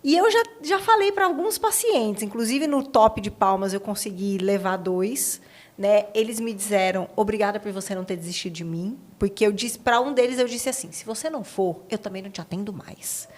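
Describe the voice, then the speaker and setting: A woman's voice: medium pitch, brisk. A woman with a Brazilian accent, hosting a conversational interview and asking questions in an informal, engaged way. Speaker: podcast host; marriage therapist.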